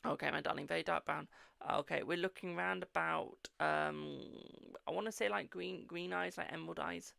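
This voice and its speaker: Gravelly-voiced man reading tarot cards. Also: fairly high pitch, fairly even intonation, normal speed, bright, forceful tone, no room sound, clean studio sound. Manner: chatty and reassuring, calls viewers "my darling". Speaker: tarot reader hosting a live stream. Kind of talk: live-stream card reading, answering viewer questions.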